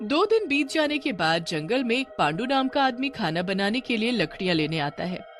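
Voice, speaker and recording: A woman's voice: a little high, very animated, normal speed, faint music behind. A woman narrator reading a scripted horror tale for a cartoon.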